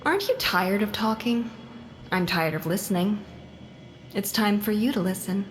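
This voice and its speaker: An actress playing a vengeful, coldly controlled female patient in a psychological horror audio drama, mocking and deliberate, often dropping into breathy murmurs. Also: medium pitch, noisy background, lightly acted. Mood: bitter, accusing.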